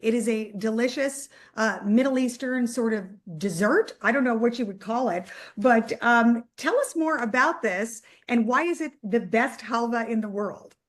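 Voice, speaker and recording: A woman's voice: fairly high pitch, expressive, normal speed, few pauses. A woman hosting an online executive panel, introducing guests in an upbeat, chatty way with many fillers. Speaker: host.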